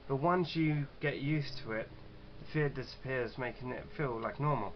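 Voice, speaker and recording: A man casually hosting a let's-play, chatting and reading game text aloud in a halting, stumbling way. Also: medium pitch. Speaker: gaming channel host. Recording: dull lo-fi mic with clear background noise.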